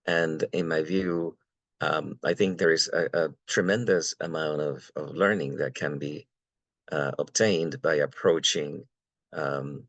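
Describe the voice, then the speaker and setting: A man from Venezuela speaking on an academic panel, halting with many fillers and repeated words. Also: rumbling low, steady tone, a bit bright, no room sound, muffled recording.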